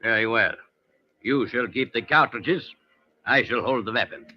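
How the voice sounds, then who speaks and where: Actor as a coolly menacing ex-soldier, muffled recording. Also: male voice, mid-range voice, lightly acted. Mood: cool menace. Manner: formal, measured, probing. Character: coolly menacing German ex-soldier, formal and condescending. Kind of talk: old-time radio drama acting. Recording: muffled old radio show recording.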